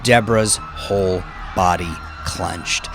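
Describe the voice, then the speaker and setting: A man with a low voice narrates crime fiction in a dramatic, scripted style. His delivery is grave and deliberate, building suspense over a clear music bed. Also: fairly even intonation.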